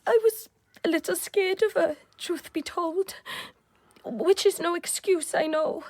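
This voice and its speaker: High-voiced, earnest British wife. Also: female voice, a little slow, darkish tone, no room sound. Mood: earnest, remorseful. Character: earnest, passionate doctor's wife with strong convictions. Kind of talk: radio drama acting.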